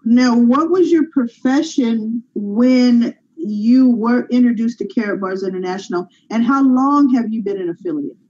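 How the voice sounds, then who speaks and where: A woman co-host makes emphatic points in a mellow, fairly high voice. Her audio comes through a dull, lo-fi connection. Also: measured pace, hardly any pauses. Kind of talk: casual interview chat.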